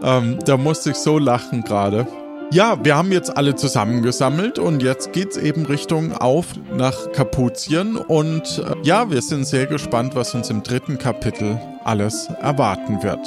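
A man's voice: mid-range voice, expressive, moderate pace, music in the background. A man hosting and narrating an improvised audio drama podcast in a casual way.

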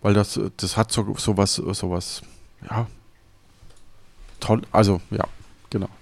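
A man chatting casually and reflectively as a podcast host, feeling his way through his sentences haltingly. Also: on the low side, little pitch movement, vocal fry. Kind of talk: casual chat.

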